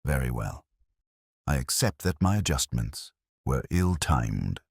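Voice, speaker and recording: A man's voice: rumbling low, very animated, unhurried, creaky, slightly rough, no room sound, studio quality, voice pushed down, exaggerated voice. Man voicing a pompous, prim committee woman. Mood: stiffly conceding. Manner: pompous, formal. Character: prim, self-important committee woman, stiffly dignified.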